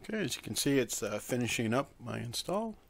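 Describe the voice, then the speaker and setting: A man presenting a casual software tutorial, talking informally through each step as he works at a computer. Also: mid-range voice, big pitch swings, fairly quick, vocal fry. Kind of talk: casual screen-recorded tutorial.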